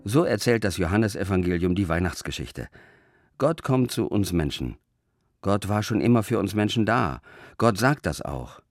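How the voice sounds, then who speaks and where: Low-voiced man giving a scripted reflective sermon. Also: expressive, moderate pace, faint music behind. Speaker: preacher hosting a religious radio talk.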